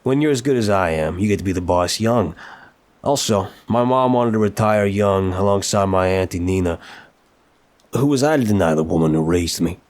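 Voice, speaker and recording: A man playing a suave, playful young mafia boss in an intimate audio roleplay, teasing and smooth-talking, leaning on words for emphasis. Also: sits low, animated, moderate pace, a little mellow. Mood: smug, teasing.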